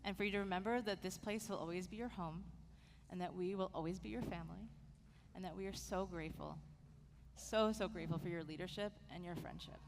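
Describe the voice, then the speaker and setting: A woman's voice: lowish voice, fairly quick, vocal fry. Woman rabbi speaking in a reverberant sanctuary. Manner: warm, heartfelt address to an honoree. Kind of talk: sermon tribute to a departing staff member. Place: synagogue sanctuary. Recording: long hall reverb, faint noise.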